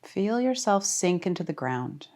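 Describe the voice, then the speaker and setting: A woman leading a guided relaxation as a yoga teacher, speaking calmly and soothingly with deliberate pauses. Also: on the low side. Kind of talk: guided meditation.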